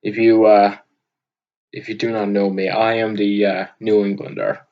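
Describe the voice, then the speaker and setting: A young man from Vermont with a loud, booming voice, talking off the cuff in an unscripted audio log, rambling with lots of filler words. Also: little pitch movement, normal speed, lo-fi sound. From Vermont, USA. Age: young adult. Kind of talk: unscripted casual monologue. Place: at his desk, indoors. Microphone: computer microphone on a desk.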